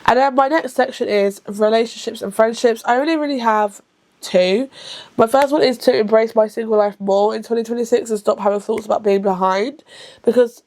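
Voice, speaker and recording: A woman's voice: a little high, a little mellow. A woman of about thirty from England. She talks casually to the camera as a book vlogger, rambling and often correcting herself, and sometimes hurries. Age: around thirty. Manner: chatty and rambling, with frequent self-corrections; rushed at times.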